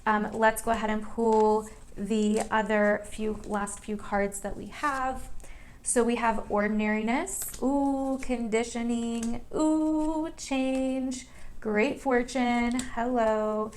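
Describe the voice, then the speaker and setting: A woman's voice: a little high, steady tone, takes it slowly, a little hiss or hum. A woman hosting an online tarot reading, talking to viewers in a casual, chatty, emphatic way and repeating phrases for stress.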